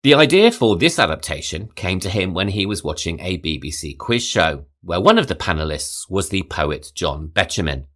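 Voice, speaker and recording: A low-voiced man reads a prepared film history for his podcast. His delivery is measured, and his pitch rises and falls a lot, lifting in particular when he starts a quotation. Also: big pitch swings, fairly bright tone. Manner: measured, reads quotes aloud. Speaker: podcast host. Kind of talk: scripted film history narration.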